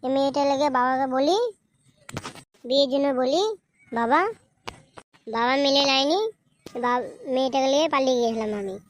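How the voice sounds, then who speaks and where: A man's voice: very high voice, fairly even intonation, normal speed, pauses often, slight background noise. A man voicing a brash, irritable young village man in a comedy cartoon, foul-mouthed. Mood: explaining. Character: brash young village man, runaway son back with his bride. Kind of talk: comedy cartoon dubbing in colloquial village speech.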